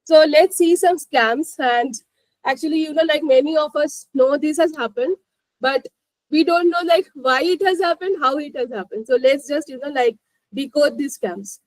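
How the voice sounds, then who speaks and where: A woman's voice: sits high, normal speed, low-quality recording. A woman with an Indian accent presenting a live explanatory finance lesson, peppering it with "you know" and "like" and checking that listeners follow. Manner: repeats 'you know, like' and checks understanding.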